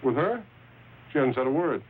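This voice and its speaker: A man's voice: medium pitch, sing-song, normal speed, natural voice. An actor playing a tough, curt, wisecracking gangster henchman in a 1940s film noir style. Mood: skeptical. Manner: curt, hard-boiled. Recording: tinny, hissy old film soundtrack.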